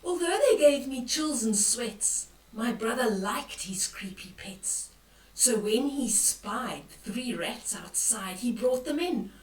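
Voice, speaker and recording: Older woman, children's storyteller, expressive, hushed and exclaiming, leisurely pace, roomy sound away from the mic. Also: on the high side, takes it slowly. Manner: expressive and dramatic, dropping into hushed whispers and exclamations. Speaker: storyteller reading a picture book aloud to children. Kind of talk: bedtime read-aloud with asides on the pictures. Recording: roomy echo, distant from the mic.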